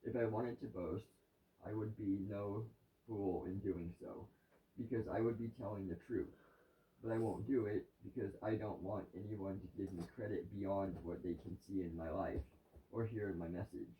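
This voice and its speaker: A man reading a devotional scripture passage aloud in a halting way, stumbling now and then, recorded at home. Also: on the low side, fairly even intonation, slight background noise. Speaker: reader of a daily devotional. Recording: distant, roomy, dull lo-fi sound.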